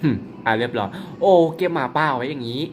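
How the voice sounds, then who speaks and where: A young man commentating his own mobile werewolf game in a chatty, excitable way, polite but slipping into laughter. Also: medium pitch, fast, background noise. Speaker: gaming YouTuber.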